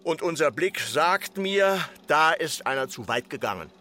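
A reproachful village mayor in a radio drama. The voice is fairly high and sharply bright, officious and insistent, repeating formal admonishments over a noisy ambience. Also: male voice, animated, unhurried. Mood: stern admonishing. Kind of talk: literary radio drama acting.

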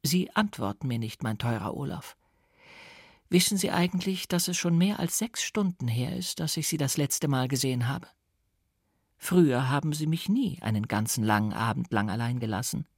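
A man's voice: medium pitch, lively intonation, normal speed, slightly rough, dry recording, studio quality. A man voicing an elegant young French countess, a tenderly reproachful wife, in a literary audiobook reading. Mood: hurt, probing.

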